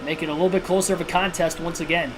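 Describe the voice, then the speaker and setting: A man's voice: on the high side, racing. Man calling a video game race. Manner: steady broadcaster-style narration mixed with personal asides. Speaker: livestream host and race commentator. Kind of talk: realistic play-by-play racing commentary. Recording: video game music clearly behind voice.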